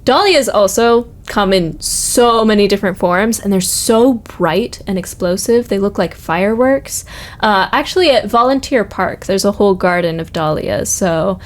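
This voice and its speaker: A woman's voice: medium pitch, lively intonation, moderate pace. A woman having a casual chat as a podcast guest, informal and agreeable. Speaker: podcast guest, university administrator.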